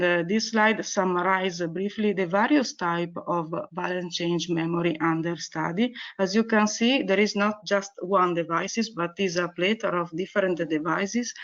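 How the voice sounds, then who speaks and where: A woman researcher who is not a native English speaker, presenting a technical webinar lecture with slides in a halting, hesitant way. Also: medium pitch. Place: online webinar. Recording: dull, muffled webinar audio.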